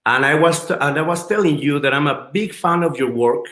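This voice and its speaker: A man's voice: a little high, a little fast, a bit bright, echoey room. A man hosting an interview, asking eager, rambling questions. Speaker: interviewer host.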